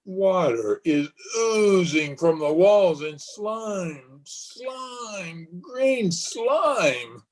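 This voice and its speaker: A man's voice: high-pitched, expressive, drawn-out words, hardly any pauses, exaggerated voice. Man acting haunted, feverish killer in radio horror. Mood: haunted dread. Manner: melodramatic, feverish, repeating words. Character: guilt-ridden, haunted male killer, raving with dread.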